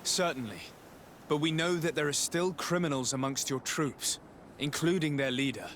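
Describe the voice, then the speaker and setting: An actor playing a calm, authoritative male diplomat in a video game, speaking formally. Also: a little high, slight background noise, close to an everyday voice. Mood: measured. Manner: measured, formal.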